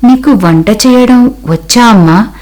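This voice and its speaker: A woman voicing a kindly, humble mother-in-law from a poor family in a cartoon story, gentle and maternal. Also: fairly high pitch, a little slow, natural voice. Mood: gentle, probing.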